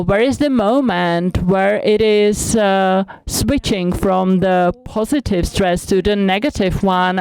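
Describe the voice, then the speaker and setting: Woman, conference interpreter, measured delivery, halting with fillers, crisp resonant voice. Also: medium pitch, low-quality recording. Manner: measured, hesitant with many fillers. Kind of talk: simultaneous interpretation at a congress.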